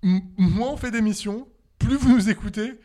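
A man's voice: sits high, normal speed, warm tone. Young French guy, jokey host. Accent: French from France. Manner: jokey, laughs often, stammers through restarts. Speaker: podcast host. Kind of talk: casual banter on a podcast.

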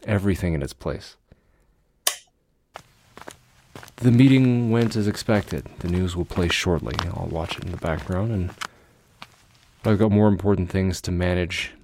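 Man acting a deep-voiced, fastidious, cold recluse. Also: a little mellow, slight background noise, rough recording. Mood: clipped, controlled. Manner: precise, deliberate, formal, brooding. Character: cold, fastidious, obsessive male recluse confessing monstrous urges. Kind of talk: audio drama monologue.

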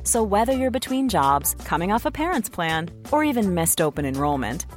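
Animated woman reading a commercial. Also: mid-range voice, fairly quick, faint music behind. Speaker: advert announcer. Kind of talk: scripted commercial voice-over.

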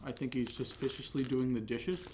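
A skeptical young American straight man acted by a man, mid-pitched and casually sarcastic. He sounds dry and exasperated in comic audio drama dialogue. The home recording is noticeably noisy. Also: steady tone, fairly quick, lightly acted. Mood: deadpan.

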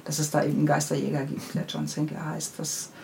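A woman's voice: low voice, flat delivery, fast, creaky, a little mellow, a little hiss or hum. A woman speaking as a guest author in a casual podcast interview, in a thoughtful, musing way.

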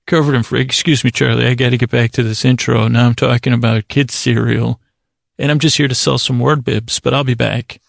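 A man with a creaky, gravelly voice hosting a bedtime podcast, rambling whimsically and stumbling over and repeating his words. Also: medium pitch, fairly even intonation, moderate pace, no room sound, studio quality. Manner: whimsical, absurd humour, acting out imagined conversations. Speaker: podcast host. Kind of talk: rambling, improvised bedtime-story podcast monologue.

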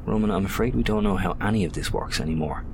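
Man doing a grave measured guy, really deep voice, noisy. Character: calm, measured man puzzling out a mystery. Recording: noisy recording.

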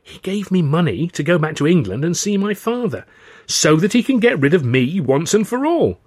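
A man's voice: on the high side, lively intonation, normal speed, a little mellow, dry recording, voice pushed up. A man playing a hot-tempered, flustered husband in audiobook dialogue, blustering and emphatic. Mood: indignant, outraged.

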